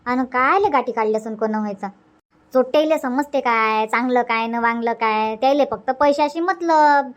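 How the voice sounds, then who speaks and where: A woman's voice: fairly high pitch, normal speed, natural voice. A woman voicing a worried, moralising village neighbour in a comic cartoon, rambling emphatically with rhetorical questions in a Varhadi Marathi accent. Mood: indignant.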